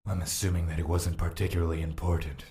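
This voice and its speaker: An actor playing a calm, probing confidant to a prince in an audio drama, measured and terse. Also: male voice, sits low.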